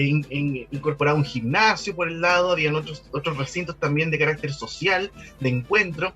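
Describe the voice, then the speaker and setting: A man with a Chilean accent and a fairly high voice talks as an interview guest. He explains things at length in a conversational way, doubling back on words as he goes. Faint music plays behind him. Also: bright, forceful tone. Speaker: guest expert on local architectural history.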